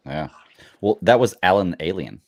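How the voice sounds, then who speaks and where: A man's voice: low-pitched, animated, moderate pace. A man co-hosting a casual podcast, making brief, laid-back remarks. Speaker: podcast co-host.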